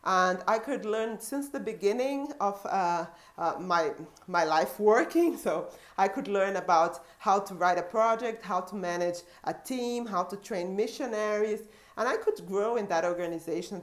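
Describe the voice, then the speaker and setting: A woman in her thirties from Brazil, speaking English with a Brazilian Portuguese accent, sharing her story as a missionary and social worker in an earnest, halting way with many restarts. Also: medium pitch. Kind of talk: personal testimony.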